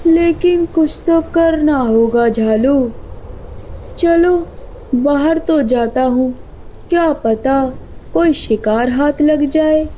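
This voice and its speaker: A woman's voice: high voice, slow pace, dark tone, slight background noise, poor audio. A woman voicing a lazy, greedy male predator bird in a children's cartoon, musing and gloating with menace. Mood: hungry, grumbling. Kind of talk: Hindi children's cartoon voice acting.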